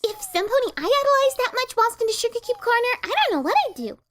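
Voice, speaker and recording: An excitable young female cartoon pony, gushing and hyperactive. The voice is extremely high and leaps around in pitch. There is some noise in the recording. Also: quick pace, heavily acted. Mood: bubbly excitement. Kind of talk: dramatic reading of a cartoon fan story.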